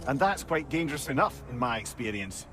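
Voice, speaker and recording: Wry old companion for a fantasy game, voiced by a man: talkative, witty, very mobile pitch over music. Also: lively intonation, fairly quick, lightly acted. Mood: wry, uneasy. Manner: wry, chatty, colourful phrasing. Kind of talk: video game voice acting.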